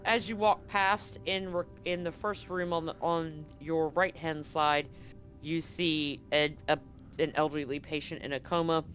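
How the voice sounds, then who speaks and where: A man improvising descriptive narration as game master of a tabletop horror game, in a halting, descriptive way. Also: a little high, steady tone, a bit bright, faint music behind.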